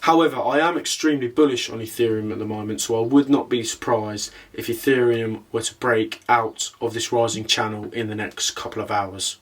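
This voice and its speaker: A man's voice: lowish voice, fairly even intonation, brisk, hardly any pauses. A man presenting an explanatory market analysis commentary, talking through it step by step as the host. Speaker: host of a crypto trading video.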